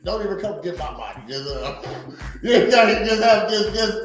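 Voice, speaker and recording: A man in his forties talks loosely and profanely on a podcast, rambling and often laughing. His voice sits high. The room rings with strong echo, with faint music underneath. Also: expressive, normal speed. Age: 40s. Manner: rambling, laughs often, repeats "you know what I mean". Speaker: podcast co-host. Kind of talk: casual profane chat.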